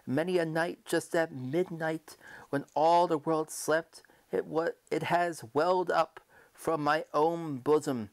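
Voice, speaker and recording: A man narrating a gothic horror story in an old-time radio manner, theatrical and deliberate, shifting between hushed and emphatic delivery. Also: fairly high pitch, fairly even intonation. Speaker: narrator reading a short story aloud.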